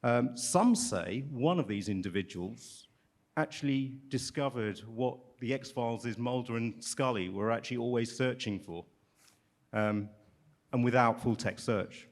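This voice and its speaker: A man introduces the next speakers at a conference with light jokes, pausing often on fillers. His voice sits at an average pitch. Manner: jokey, hesitant with many fillers. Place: large conference hall.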